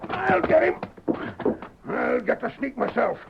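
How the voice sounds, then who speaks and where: An actor playing a hard, hot-tempered western ranch man in a radio drama, barking his words forcefully with a gravelly voice. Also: very high-pitched, big pitch swings, measured pace, exaggerated voice. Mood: furious. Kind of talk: old-time radio drama acting. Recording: noisy, muffled vintage radio recording.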